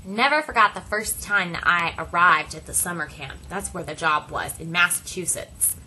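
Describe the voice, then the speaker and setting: A woman voicing a first-person story as an interpreter, speaking deliberately in short phrases with dramatic pauses. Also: on the low side, pushed and bright, breathy edge, rough recording.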